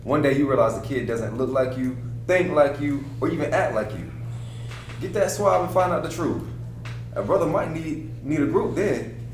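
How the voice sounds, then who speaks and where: A frank, earnest street-smart friend acted by a man in a drama read-through. He speaks in slang with animated, swinging pitch, recorded at a distance in an echoey room. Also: moderate pace. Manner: colloquial, slangy. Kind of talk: scripted dialogue read aloud. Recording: echoey room, distant mic, faint noise.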